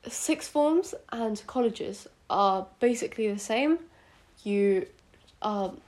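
A woman's voice: mid-range voice, a little mellow. Hesitant British teenage girl with sniffles. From United Kingdom, born in India. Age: teenager, about 14 to 15. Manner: hesitant, rambling, self-conscious. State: sick with sniffles. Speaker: podcast host. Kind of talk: casual solo podcast chat.

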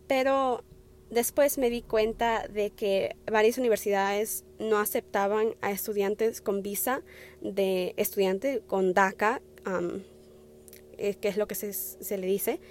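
A woman's voice: medium pitch, a little mellow. A young woman in her twenties talking casually on her podcast in Spanish, hesitant, full of fillers and restarts, with English words slipping in. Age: 20s. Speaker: podcast host. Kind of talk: casual personal talk.

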